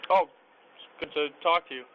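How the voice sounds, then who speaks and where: A man's voice: on the high side, normal speed. A young man making polite, brief, casual replies into a doorbell microphone on a porch. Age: young adult. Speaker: daughter's date. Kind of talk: casual chat. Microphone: doorbell microphone on front porch. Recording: narrow doorbell microphone, outdoor background noise.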